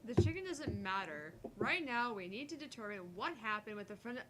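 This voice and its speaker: A woman's voice: on the high side, big pitch swings, brisk, heavy background noise. A woman voicing a blunt, impatient young genius assistant in a visual novel, speaking curtly and with exasperation. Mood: brusque. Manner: curt, exasperated.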